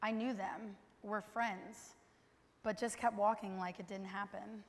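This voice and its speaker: A woman's voice: mid-range voice, fairly even intonation, measured pace. A young woman delivering a scripted stage recitation as a student performer. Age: young adult. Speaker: student performer in a readers theater. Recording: reverberant hall.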